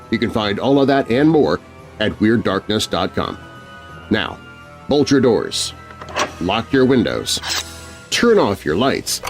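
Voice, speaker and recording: Guy hosting a spooky podcast, scripted and theatrical, really lively pitch, faint music behind. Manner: theatrical, spooky-show presenting. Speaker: podcast host.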